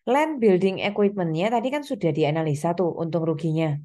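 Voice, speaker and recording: A woman lectures to students in a slightly low, very mellow voice. She explains things step by step, often pausing on reminders and small check-ins to keep listeners following. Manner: instructional, step-by-step, with frequent reminders and check-in tags. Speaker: accounting lecturer.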